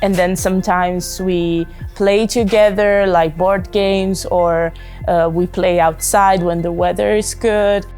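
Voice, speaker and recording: Italian woman in her twenties, slightly low voice, calm testimonial with faint music. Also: slow pace. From southern Italy. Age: 20s. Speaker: volunteer. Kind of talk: interview testimonial.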